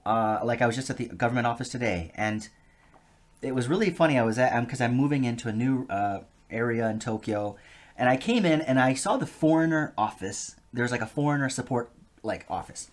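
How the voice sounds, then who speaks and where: A man's voice: medium pitch, a little fast. A man, a guest on an interview show, talking casually and with enthusiasm, laughing easily. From United States. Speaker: guest, polyglot.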